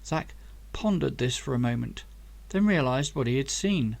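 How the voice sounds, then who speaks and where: A man narrating a scripted story as an audiobook, reading in a measured, deliberate storytelling way. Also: medium pitch, lively intonation, vocal fry. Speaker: author reading own book.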